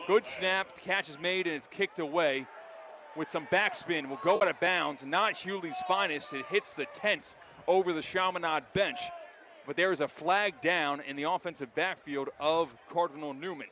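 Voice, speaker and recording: A man with an American accent and a fairly high voice calls football play-by-play in a descriptive, animated way. It is a live outdoor stadium broadcast with loud noise all around him. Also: moderate pace. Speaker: play-by-play announcer.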